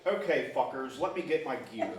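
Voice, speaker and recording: A man's voice: mid-range voice, animated, moderate pace, close to an everyday voice. A man at an amateur comedy table read playing a sheepish, queasy, grumbling fisherman in a casual, wry way. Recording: very echoey room, far from microphone.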